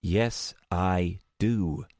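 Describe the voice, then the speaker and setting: A man's voice: low voice, extremely slow, darkish tone, dry recording, studio quality, exaggerated voice. Man acting an insistent, exasperated male story hero. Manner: insistent, reasoning, sometimes snarky. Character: exasperated, protective man who can see the future.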